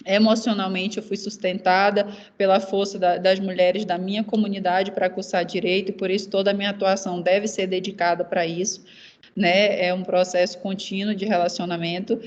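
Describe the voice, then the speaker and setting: An earnest young woman from Maranhão in Brazil, whose first language is Zé'eng eté, speaks on a panel at a steady middle pitch with very little melodic movement. She talks haltingly and often repeats fillers while she searches for words. The sound is dull and lo-fi, like a video call. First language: Zé'eng eté (Guajajara language). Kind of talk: personal testimony in a panel talk.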